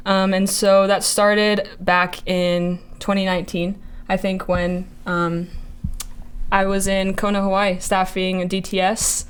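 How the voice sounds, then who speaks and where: A woman's voice: medium pitch, level tone, unhurried, clear, resonant tone. A young woman in her early twenties from Montana, sharing a faith testimony in casual chat, hesitant with many fillers. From Montana, USA. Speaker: ministry intern.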